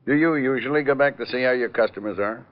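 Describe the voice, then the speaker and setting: An actor playing a skeptical, businesslike police lieutenant, blunt and probing in an old-time radio crime drama. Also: male voice, mid-range voice, fast talker, lightly acted. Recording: muffled vintage radio recording.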